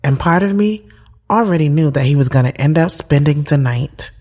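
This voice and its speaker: A woman's voice: deep voice, animated, moderate pace. A woman playing a sassy, candid thirty-year-old who narrates her love story in an audio drama, frank and slangy. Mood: confiding, smitten. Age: 30s. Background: loud music.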